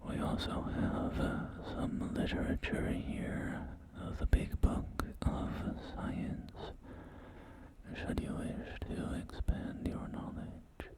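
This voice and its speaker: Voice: slow pace, close to an everyday voice. A performer voicing a polite, eager post-apocalyptic real estate agent in a whispered ASMR roleplay, with courteous, hesitant sales patter. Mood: politely promotional. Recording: loud music bed under close whispering. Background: music and game audio.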